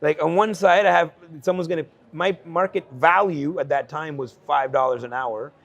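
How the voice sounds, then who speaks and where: A man in his forties from El Salvador, a guest speaking earnestly at an investment conference about his life, with frequent fillers and quick laughs. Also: a little high, creaky. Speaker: guest entrepreneur. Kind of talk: candid conversational storytelling.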